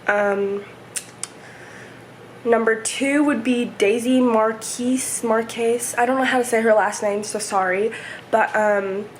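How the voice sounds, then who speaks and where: Young woman vlogger, hoarse and stuffy, rambling home chat, slightly high voice, faint room hum. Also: fairly even intonation, slow pace. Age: 20s. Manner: rambling, chatty, many fillers. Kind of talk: casual home vlog chat. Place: home, indoors. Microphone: camera set up nearby.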